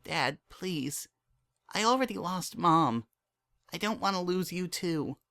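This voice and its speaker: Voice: mid-range voice, expressive, slow, pushed and bright, voice pushed up, heavily acted. A narrator voicing a young pony mare, a hurt but hopeful daughter, emotional and pleading, in a dramatic story reading. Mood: tearful.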